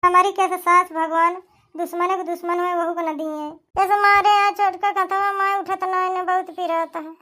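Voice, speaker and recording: A woman's voice: very high-pitched, flat delivery, normal speed, pushed and bright. A woman playing a long-suffering, plaintive village wife in a comic cartoon, complaining and pleading in an Awadhi accent. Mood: pained complaint. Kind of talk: exaggerated cartoon comedy dub.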